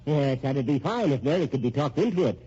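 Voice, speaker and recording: Smug scheming husband, swooping, muffled recording. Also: male voice, mid-range voice, animated, a little fast, warm tone, breathy edge. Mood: mock sweet. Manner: mock-genial, stammering false courtesy. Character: scheming, smug, sardonic husband playing everyone against each other. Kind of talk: old-time radio drama acting. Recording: muffled, noisy old radio recording.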